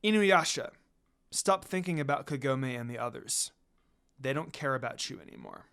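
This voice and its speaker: A man's voice: mid-range voice, animated, moderate pace, creaky, a bit bright, clean tone, voice pushed down. Man voicing a solemn undead priestess, cold and hateful. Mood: cool, calming.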